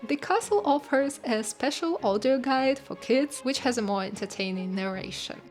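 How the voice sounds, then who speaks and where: A woman's voice: on the high side, expressive, normal speed, over music. A woman vlogger giving travel narration and casual commentary on a sightseeing visit.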